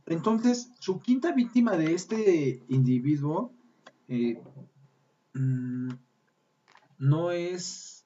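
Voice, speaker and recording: A man's voice: mid-range voice, mellow. A Mexican man in his thirties co-hosting a casual podcast chat, joking and slangy, with a Mexican accent. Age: 30s. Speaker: podcast co-host. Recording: dull lo-fi sound.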